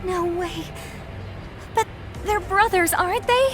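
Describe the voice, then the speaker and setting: An actress playing an anxious, impassioned young heroine in a video game, with an urgent, pleading delivery. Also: female voice, extremely high pitch, takes it slowly, music in the background. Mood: desperate. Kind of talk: dramatic video game cutscene acting.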